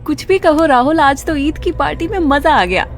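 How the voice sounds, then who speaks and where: A woman's voice: high voice, normal speed, soft background music. A woman voicing a curt, snappish young mother in a cartoon story. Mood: chatty. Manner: curt, scolding. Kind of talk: cartoon dialogue.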